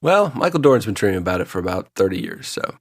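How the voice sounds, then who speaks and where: A man's voice: low-pitched, sing-song, fast, no echo, clean studio sound. A man co-hosting a podcast, bantering casually and comedically about a TV show in a teasing, mock-formal way. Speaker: podcast co-host.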